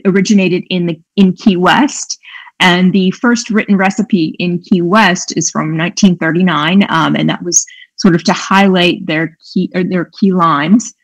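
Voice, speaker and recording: A woman's voice: fairly low pitch, steady tone, moderate pace, low-quality recording. A middle-aged woman, a cookbook author, chatting about recipes from her home kitchen on a video call, with frequent fillers. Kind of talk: conversational cooking demo. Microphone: webcam on a video call.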